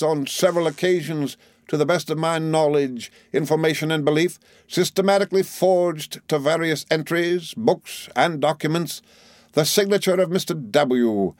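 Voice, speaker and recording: A man voicing a pompous, florid middle-aged gentleman in an audiobook, declaiming in long formal phrases with relish. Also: a little high, no room sound, clean studio sound, pitched above the performer's own voice, natural voice.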